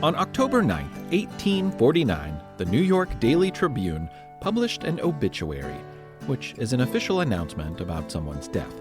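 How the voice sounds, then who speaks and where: A man hosting and narrating a history podcast for children, reading a script in a conversational, playfully dramatic way. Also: medium pitch.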